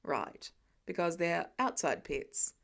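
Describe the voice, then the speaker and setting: A woman's voice: on the low side, lively intonation, slow pace, creaky, pitched below the performer's own voice, exaggerated voice. A woman voicing a dry, teasing father who is mildly exasperated, in an audiobook story. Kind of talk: audiobook character voice.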